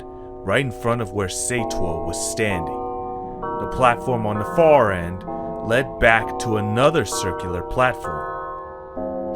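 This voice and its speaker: A man reading scripted story narration as the narrator of an audio drama. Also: mid-range voice.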